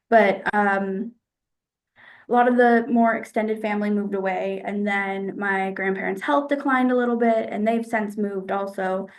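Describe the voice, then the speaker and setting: A young woman from the United States answers interview questions in a casual, thoughtful way, pausing often to find her words. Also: mid-range voice. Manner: thoughtful, hesitant, with fillers.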